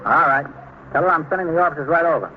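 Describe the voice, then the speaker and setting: An American man playing a brisk, insistent police switchboard sergeant in a 1950s radio drama. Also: on the high side, lively intonation, natural voice. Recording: thin, phone-like hissy old radio recording.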